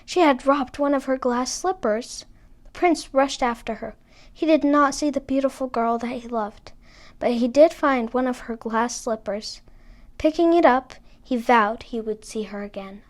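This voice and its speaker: High-pitched woman reading a fairy tale. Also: unhurried. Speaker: storybook reader. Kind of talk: scripted fairy tale narration.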